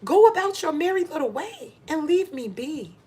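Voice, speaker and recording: A woman's voice: sits high, sing-song, moderate pace, darkish tone. A middle-aged American woman giving a candid motivational monologue to viewers, speaking emphatically and repeating phrases for stress. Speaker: vlogger giving advice to women.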